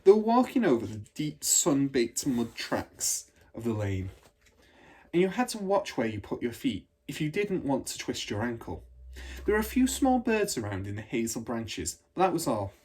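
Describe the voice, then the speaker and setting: Man reading a kids story out loud, expressive teacher style, mid pitch, a bit husky. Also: normal speed. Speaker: teacher reading aloud to pupils. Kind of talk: storybook narration.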